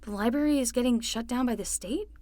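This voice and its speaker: Casting: a woman as a level-headed, slightly exasperated young nanny for audio drama, lightly high voice, close and dry. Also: fast, dark tone, dry recording, natural voice. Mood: cautious, questioning. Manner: hesitant, reasoning, mildly exasperated. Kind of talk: fiction podcast acting.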